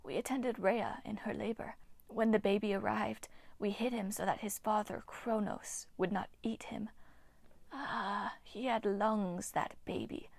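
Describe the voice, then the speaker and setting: A woman voicing a bitter, ancient female water nymph in a slow, hissing whisper. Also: medium pitch, vocal fry, a little mellow, no echo. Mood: reminiscing.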